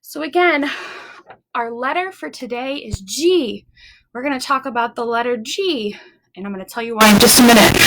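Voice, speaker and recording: A woman hosting a story time for young children, speaking in an encouraging, animated way with simple phrases and questions put straight to the listeners. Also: high voice, a little hiss or hum, rough recording.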